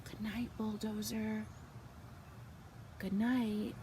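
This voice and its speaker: A woman reads a picture book aloud for small children at a very slow, deliberate pace. Her voice sits at an average pitch, with expressive, drawn-out phrasing that softens for the bedtime lines. There is faint background noise in the recording. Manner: slow, animated delivery with drawn-out words and hushed, whispered good nights. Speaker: children's storybook reader.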